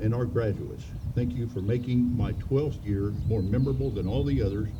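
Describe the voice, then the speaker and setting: A man giving a measured, formal address as the school's leader at a ceremony. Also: lowish voice, heavy background noise. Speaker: school superintendent. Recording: dull, distant outdoor mic.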